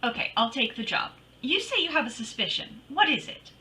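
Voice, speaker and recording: A woman's voice: sits high, sing-song, fairly quick, pushed and bright, heavily acted. A woman playing a wry, confident, wisecracking private detective in old-time radio style, breezy and flippant. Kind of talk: 1940s radio acting, narration and dialogue.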